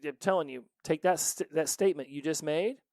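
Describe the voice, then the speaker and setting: A man's voice: on the high side, brisk, creaky, mellow, dry recording, studio quality. A man in his forties hosting a recovery podcast, giving an earnest solo motivational talk full of rhetorical questions. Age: 40s.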